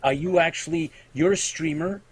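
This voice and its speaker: A man co-hosting a live show, talking in a relaxed, halting way and dropping in short agreements. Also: on the high side. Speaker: co-host. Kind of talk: casual chat on a live show.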